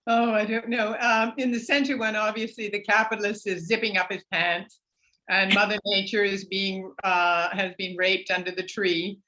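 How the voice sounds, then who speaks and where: A woman artist describes an image over a video call in a halting way. Her voice is bright and tense, and it barely moves in pitch. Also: little pitch movement, poor audio.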